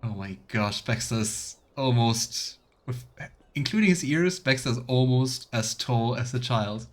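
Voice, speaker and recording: Casting: man, medium voice, relaxed livestream game commentary, voicing on-screen options as he goes. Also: medium pitch, unhurried. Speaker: streamer, let's player. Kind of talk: casual game commentary, reading dialogue choices aloud.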